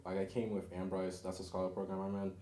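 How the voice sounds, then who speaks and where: A man's voice: sits low, little pitch movement, quick pace, mellow, roomy sound. A young man from Florida chatting casually as a co-host, laid-back and full of slang. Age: young adult. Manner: laid-back slang, says "bro" a lot. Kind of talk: casual chat.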